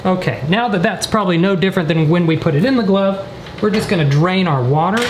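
A man in his twenties chatting through a comedic cooking vlog with deadpan, joking asides, recorded on a camera mic in a kitchen. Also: sits high, fast. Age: 20s. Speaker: vlogger. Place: indoors, small apartment kitchen. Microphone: camera mic at a short distance. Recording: roomy kitchen echo, background noise.

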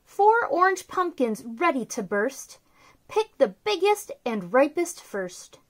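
A woman's voice: sits high, sing-song, slow pace. A woman in her thirties hosting a children's story time, reading a picture book aloud in a bubbly, sing-song way from a small room. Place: small decorated room indoors.

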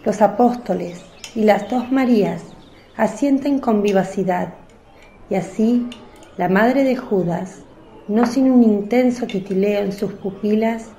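A woman's voice: medium pitch, dark tone. A woman reading scripted narration for a religious radio drama. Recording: roomy, echoing voice track.

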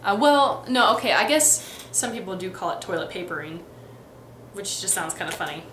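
A woman's voice: mid-range voice, lively intonation, normal speed, a bit bright, roomy sound, slight background noise. Chatty young woman with a light Midwestern accent. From Texas and Missouri, US. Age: young adult. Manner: chatty, many ums and restarts. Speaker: vlogger. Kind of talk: casual accent tag vlog. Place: bedroom, indoors.

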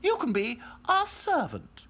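A woman's voice: wildly swooping pitch, a little slow, fairly bright tone, slight background noise, full character voice. A woman voicing haughty, spoiled princess dolls in a snooty manner for a storybook reading. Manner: shrill and snooty.

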